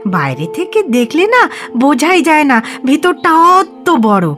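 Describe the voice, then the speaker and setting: A woman's voice: sits high, sing-song, measured pace, a bit bright, soft background music, full character voice. A woman playing an eager, innocent young village boy in an audio drama, chattering in a proud, childlike way. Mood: excited, proud. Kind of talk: audio story acting in Bengali radio drama.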